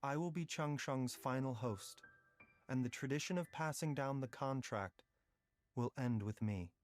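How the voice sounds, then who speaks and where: A man playing a gentle, philosophical young doctor in a video game, with measured, articulate and reflective delivery. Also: mid-range voice, close to an everyday voice. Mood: calm, persuasive. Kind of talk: scripted video game voice acting. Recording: music playing behind the voice.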